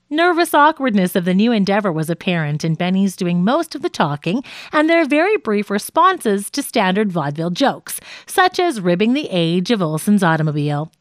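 A woman narrating a scripted nonfiction audiobook in a measured, articulate way. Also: mid-range voice, no echo, studio quality.

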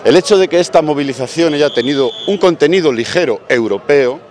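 A man, a union representative, speaking firmly and in a measured way in a street interview outdoors. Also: fairly high pitch, expressive, darkish tone, some chatter behind.

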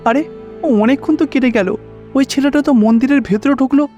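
A man's voice: very high-pitched, fairly quick, darkish tone, highs cut off. A man voicing a pious, poor older villager in a cartoon, pleading and exclamatory. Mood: worried, pleading. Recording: faint music behind.

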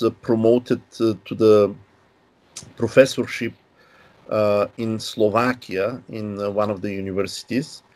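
A man in his sixties from Bulgaria, a linguistics professor explaining history in measured, unhurried interview answers over a video call from a home study. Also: on the low side, a little mellow. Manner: measured, unhurried, with pauses and fillers.

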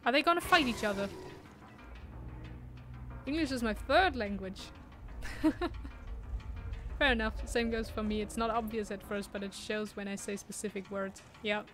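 A woman's voice: mid-range voice, unhurried, pauses often, fairly bright tone, faint music behind. A young woman with a German accent, chatting casually and laughing as she plays a video game and answers chat. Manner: chatty, laughs often, reads chat aloud. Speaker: streamer. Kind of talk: casual gameplay commentary.

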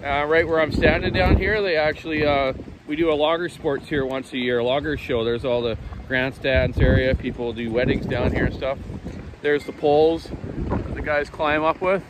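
Guy in his 50s vlogging on his phone outside, folksy and rambly, voice a bit high, wind roaring on the mic. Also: fairly even intonation, moderate pace. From Canada. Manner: rambling, folksy, with many fillers. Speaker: chainsaw shop owner and vlogger. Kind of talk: casual walking vlog. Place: windy seaside park outdoors. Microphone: phone camera at arm's length. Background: gusting wind.

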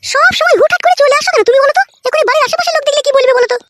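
A woman voicing a sharp-tongued middle-aged wife in a cartoon comedy, with a rural West Bengal accent, crude nagging delivery and an artificially pitched-up voice. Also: heavy background noise. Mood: worried. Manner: crude, nagging, rapid-fire.

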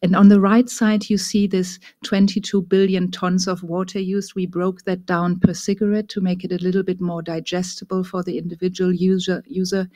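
A woman with a German accent, giving a measured, explanatory presentation over slides with frequent fillers. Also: on the low side, warm tone, dry recording. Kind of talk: remote presentation.

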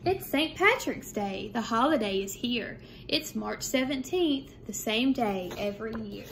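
A woman speaks like a primary teacher reading to young children. Her phrasing is measured and simple. She is recorded indoors at home, with some background noise. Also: a little high, expressive. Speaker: second grade teacher. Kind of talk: children's read-aloud. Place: small room at home, indoors. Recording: clear background room noise.

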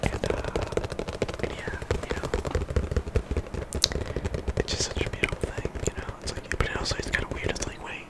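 A soft-spoken ASMR creator, sick at the moment, whispering a casual, earnest ramble full of slang and fillers. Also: normal speed, long pauses, rough recording. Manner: earnest encouragement.

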